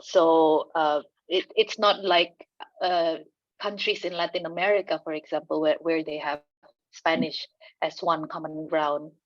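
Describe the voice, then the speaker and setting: A woman with an Indonesian accent, answering on a conference panel in a halting way, with many fillers and restarts and light laughs. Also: low-pitched, dry recording, highs cut off.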